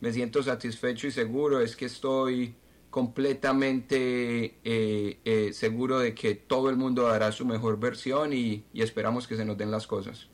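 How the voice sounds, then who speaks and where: Colombian man, halting interviewee. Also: medium pitch, level tone. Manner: halting, repeats words as he searches for them. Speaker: football club president. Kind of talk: interview answers.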